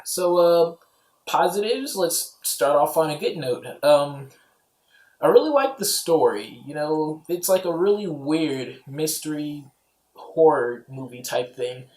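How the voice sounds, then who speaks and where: Guy with a kinda higher voice doing a chill offhand movie review, rambles a lot, echoey room. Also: lively intonation, slow, darkish tone. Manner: rambling, offhand, peppered with "you know" and "like". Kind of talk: casual, informal movie review. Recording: roomy, echoey home recording.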